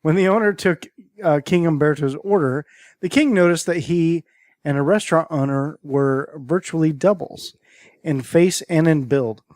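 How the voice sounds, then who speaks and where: A man's voice: a little high, moderate pace, a little mellow, no room sound, studio quality. A man hosting a podcast and reading out a list of strange stories in a casual way, now and then tripping over names; his first language is Greek. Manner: storytelling, stumbles over names and words. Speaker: podcast host presenting a list.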